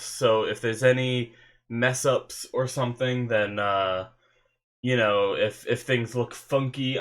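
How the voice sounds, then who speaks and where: A young man with a stuffy nose, chatting casually to his webcam from his bedroom and rambling with lots of fillers as he hosts an anime reaction. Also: mid-range voice. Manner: rambling, full of fillers and restarts, excitable. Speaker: anime reaction channel host. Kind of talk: casual rambling chat to camera. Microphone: webcam setup at a desk.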